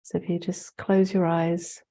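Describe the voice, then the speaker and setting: A woman hosting a spiritual webinar, gently guiding a meditation while congested from the flu, her voice sounding blocked up. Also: on the low side, level tone. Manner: slow, soft-spoken, gently guiding. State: congested, getting over the flu. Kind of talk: guided meditation and talk.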